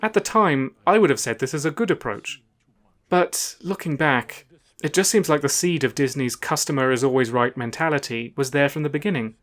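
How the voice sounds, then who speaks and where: A man delivers scripted video essay narration at a medium pitch. He sounds measured and analytical as he argues his case, with faint music behind him.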